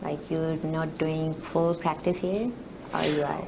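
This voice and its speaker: A woman's voice: low voice, little pitch movement, takes it slowly, gravelly, darkish tone, slight background noise, low-quality recording. A woman hosting a TV talk show, asking her guest loosely phrased questions. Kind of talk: interview questions.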